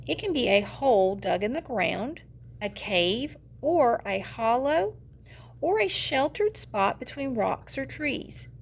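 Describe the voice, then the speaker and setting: A woman's voice: sits high, vocal fry, slight background noise. A woman teacher giving an instructional read-aloud of a children's nonfiction book, explaining and putting questions directly to young learners. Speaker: teacher reading aloud to students.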